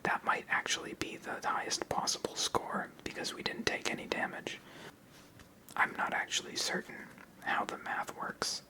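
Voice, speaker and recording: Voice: normal speed, low-quality recording. ASMR host for solo card game play-through, fully whispered delivery, explaining each move.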